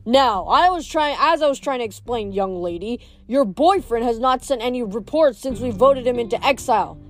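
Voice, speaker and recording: A woman's voice: fairly high pitch, animated, moderate pace, faint music behind, natural voice. A woman voicing a very old, fat male satyr who is pompous and cowardly, talking in a huffy, blustering way and stammering when scared.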